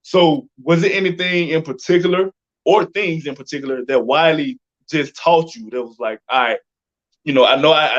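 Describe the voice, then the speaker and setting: A man with a fairly high voice hosts a casual interview through slightly muffled, lo-fi audio. He speaks informally and takes a roundabout path to each question. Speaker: interview host.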